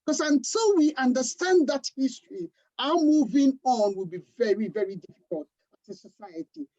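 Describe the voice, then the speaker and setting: Earnest woman from Africa, mellow voice. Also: high-pitched, animated. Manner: earnest, deliberate, emphatic. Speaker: panelist. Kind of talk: panel discussion talk. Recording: dull lo-fi livestream audio.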